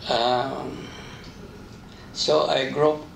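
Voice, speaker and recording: A man's voice: mid-range voice, flat delivery, very slow, creaky. A man from the Philippines with a Filipino accent gives conversational interview answers in simple, hesitant phrasing. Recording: roomy, distant mic, faint noise.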